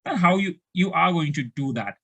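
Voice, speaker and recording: A man's voice: a little high, animated, brisk, creaky, a bit bright. A man with an Indian accent giving a step-by-step tutorial as an accounting instructor, asking questions and then answering them, often repeating himself.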